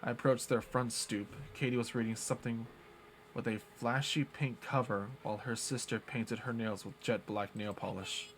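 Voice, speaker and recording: A man's voice: mid-range voice, moderate pace, a little hiss or hum, low-quality recording. Man reading a visual novel aloud. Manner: steady reading with small slips and restarts. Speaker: streamer.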